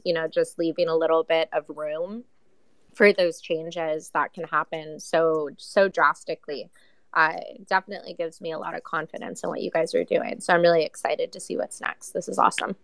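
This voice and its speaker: A woman's voice: sits low, steady tone, moderate pace, a bit bright, dry recording, rough recording. A woman on a casual live radio panel, responding in an affirming, encouraging way.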